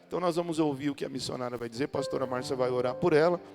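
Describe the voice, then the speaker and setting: A man's voice: mid-range voice, rapid-fire, creaky. A man with a Brazilian Portuguese accent, speaking as a pastor in a colloquial, joking way as he introduces a guest to a church. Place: church hall. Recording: reverberant hall with music.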